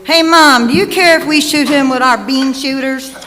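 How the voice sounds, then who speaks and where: A woman playing a cocky, mischievous, excitable young boy in a staged old-time radio play. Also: high-pitched, normal speed. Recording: echoey hall stage recording, faint noise.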